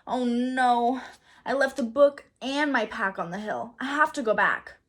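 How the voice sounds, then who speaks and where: A woman's voice: on the high side, a little slow, voice pushed up. A woman voicing an anxious, bookish young boy in a storybook, speaking with urgency. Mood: worried, insistent. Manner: urgent, self-coaching exclamations.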